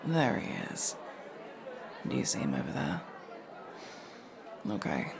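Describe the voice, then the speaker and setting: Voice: very deep voice, wildly swooping pitch, slow pace, gravelly, a crowd in the background, background noise, heavily acted. A performer voicing a guarded, sardonic masked elf rogue in a casual ASMR roleplay, speaking to the listener with hesitant asides. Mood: focused, conspiratorial. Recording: music playing behind the voice.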